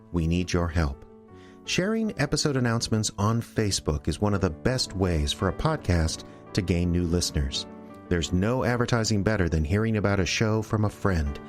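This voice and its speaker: A man hosting and announcing a radio drama show, reading credits and an earnest appeal to listeners. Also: on the low side. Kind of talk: scripted announcement.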